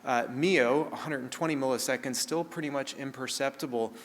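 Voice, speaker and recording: A man with a New Zealand accent giving a brisk technical conference talk, with frequent "uh" fillers. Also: mid-range voice. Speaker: conference speaker on satellite access. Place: large conference hall. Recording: reverberant hall, faint ambient noise.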